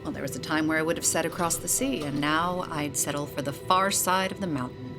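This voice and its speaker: A woman's voice: low-pitched, a little fast, music in the background. A woman in an audio drama playing a jaded, wry young aristocrat with a languid, theatrical, sardonic manner. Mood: wistful, fatalistic.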